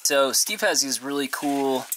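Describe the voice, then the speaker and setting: Guy doing a casual diy vlog, rambly, bright voice, bit of noise behind him. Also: mid-range voice, unhurried, rough recording. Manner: informal, rambling, talks while working. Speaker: vlogger, host. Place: inside a converted school bus and outdoors beside it. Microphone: handheld camera at arm's length.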